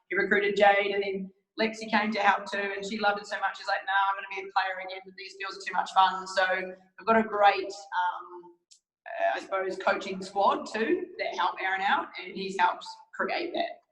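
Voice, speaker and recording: A woman with a raspy voice, a footballer, chatting upbeat in a casual group interview. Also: medium pitch, a bit bright. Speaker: football player. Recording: echoey room.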